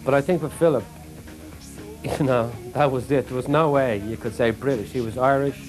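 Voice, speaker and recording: A man's voice: medium pitch, moderate pace, a little mellow, faint music behind, rough recording. A man giving a documentary interview in an assured, matter-of-fact way.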